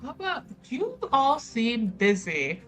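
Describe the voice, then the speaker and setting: A woman's voice: a little high, big pitch swings, slow, a bit bright, soft background music. A woman playing a tabletop roleplaying game, chatting and improvising her character's moves in a casual, playful way.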